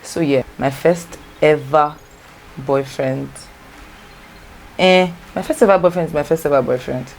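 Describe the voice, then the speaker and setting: Young Nigerian woman, Igbo first language, vlogger; very low voice, chatty and rambling, faint background hum. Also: measured pace, pauses often, a little mellow. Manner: chatty, rambling, self-correcting. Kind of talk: casual storytime chat. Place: home, natural light.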